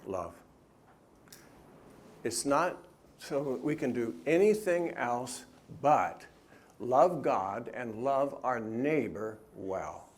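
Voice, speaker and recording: A man's voice: medium pitch, animated, very slow, slight background noise. A middle-aged man, the author, gives an earnest talk at a church and answers questions, often stopping and restarting. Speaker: author and speaker.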